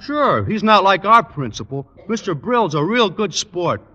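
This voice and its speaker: Dim eager high school jock, highish voice, old radio show with loads of noise. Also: male voice, sing-song, measured pace. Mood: cheerfully naive. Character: naive, eager teenage high school football star. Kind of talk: 1940s-50s radio comedy acting. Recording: muffled, very noisy old radio broadcast.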